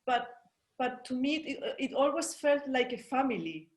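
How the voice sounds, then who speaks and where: Higher-voiced woman interviewer, echoey room. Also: animated, moderate pace. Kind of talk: casual conversational interview.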